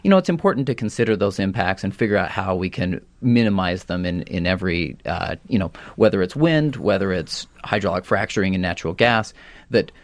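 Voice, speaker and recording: An American man speaking as a policy guest on a radio talk show, often halting and rephrasing as he goes. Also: low voice, lively intonation, gravelly, no echo. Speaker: energy policy director, panel guest.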